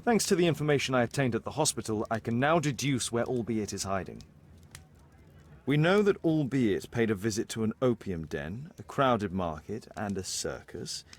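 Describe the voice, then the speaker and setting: A man playing a brisk, analytical detective in a video game, confident and commanding, with precise, clipped delivery. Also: mid-range voice. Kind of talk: dramatic video game acting.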